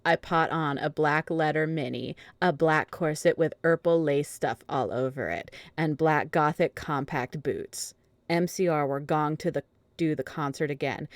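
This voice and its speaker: A woman with a low voice narrates a fan fiction story. She reads the absurd text straight-faced, in a dry, close sound. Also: no echo. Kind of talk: dramatic reading of fan fiction.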